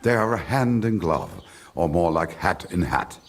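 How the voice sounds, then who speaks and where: An actor playing a grumbling, condescending village merchant in a video game, with a German accent and German phrases slipped in. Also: male voice, low-pitched, big pitch swings, a little slow, slightly rough, exaggerated voice. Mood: gossipy. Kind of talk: video game character dialogue.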